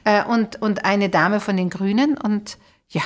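A middle-aged woman speaks as a host in relaxed conversation, with a medium-pitched voice. She is animated and emphatic, often punching home her points with rhetorical questions. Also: measured pace. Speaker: podcast host and theatre director. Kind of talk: casual conversational interview.

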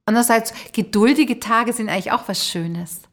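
A woman's voice: a little high, moderate pace, fairly bright tone. A woman podcast host chatting casually in a musing, conversational way.

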